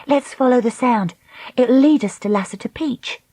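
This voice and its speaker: Woman as a bold, higher-pitched storybook girl. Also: moderate pace, warm tone, dry recording, lightly acted. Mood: brisk, confident. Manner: assertive, challenging. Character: bold, proud, outspoken young girl heroine.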